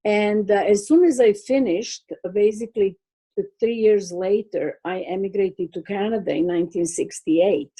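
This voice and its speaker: Older Serbian-accented woman, hesitant and mellow. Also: medium pitch, breathy edge. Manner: hesitant, many fillers, slow thoughtful pace. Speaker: guest artist. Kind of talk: recorded video call interview. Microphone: video call.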